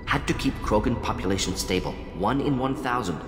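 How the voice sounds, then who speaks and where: A man's voice: mid-range voice, fairly quick, lightly acted. A male actor playing a proud, conflicted alien scientist in a video game, speaking in clipped, telegraphic phrases with small words dropped. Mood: brisk, clinical. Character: fast-thinking alien scientist doctor, proud, conflicted, defensive. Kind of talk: dramatic video game dialogue. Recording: echoey hall reverb, music behind.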